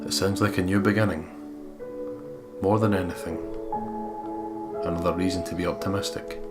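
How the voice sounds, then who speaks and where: A man's voice: deep voice, steady tone, moderate pace. A man co-hosting a let's play, reading a visual novel aloud and commenting casually. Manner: casual and sweary. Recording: game music behind the voice.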